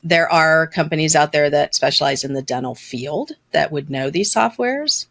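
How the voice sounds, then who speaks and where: A woman hosting a podcast interview, talking in a lively, ranting way and laughing often. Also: low-pitched, moderate pace, gravelly, slight background noise. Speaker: podcast host. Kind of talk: casual interview chat.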